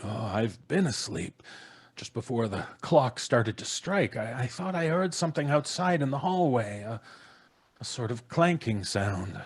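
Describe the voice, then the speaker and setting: A man's voice: mid-range voice, very animated, a little slow, husky. An actor playing a grumbling, stammering, sceptical father in an old-time radio comedy. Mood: uneasy, grumbling. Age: middle-aged. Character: blustering, practical, sceptical husband and father. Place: small home studio on a video call. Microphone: close studio microphone.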